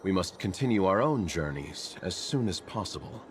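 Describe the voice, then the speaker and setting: Deep-voiced, courteous, wry travelling gentleman. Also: lively intonation, background noise. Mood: composed, formal. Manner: formal, measured, eloquent. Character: courteous, wry travelling man with composed formal speech. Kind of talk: scripted video game dialogue.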